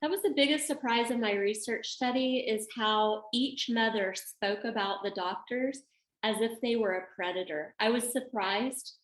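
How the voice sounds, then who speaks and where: A middle-aged woman psychologist answering interview questions in a measured, thoughtful way. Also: fairly high pitch. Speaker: psychologist guest. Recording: echoey room sound.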